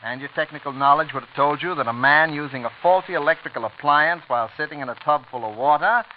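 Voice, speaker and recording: An actor playing a clever, brash young assistant district attorney in a 1940s radio drama, blunt, smug and needling. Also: male voice, a little high, fast, natural voice. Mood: accusing, confident. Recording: muffled, noisy vintage radio recording.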